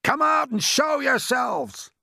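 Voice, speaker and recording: A man voicing a bossy, alarmed chief of police in a storybook, with loud, drawn-out exclamations. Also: on the high side, big pitch swings, creaky, breathy edge, dry recording, studio quality, pitched above the performer's own voice, exaggerated voice. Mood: commanding.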